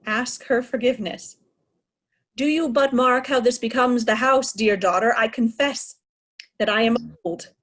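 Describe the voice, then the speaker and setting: A woman's voice: a little high, a bit bright. A woman playing a proud aging king, raging at ungrateful daughters in a declamatory Shakespearean style. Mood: bitter sarcasm. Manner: declamatory, deliberate, anguished. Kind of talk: Shakespearean reader's theatre acting.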